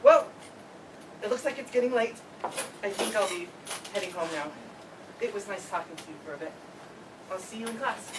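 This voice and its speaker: A woman's voice: mid-range voice, moderate pace, long pauses, close to an everyday voice. A woman playing an awkward, eager, earnest classmate in an amateur stage play reading, theatrical in places. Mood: polite. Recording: distant mic, clearly noisy live room.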